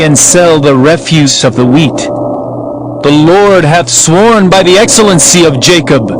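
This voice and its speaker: High male voice, solemn, declamatory, dramatized scripture narration, music behind. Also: rough recording. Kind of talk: dramatized King James Bible narration. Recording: music under the voice.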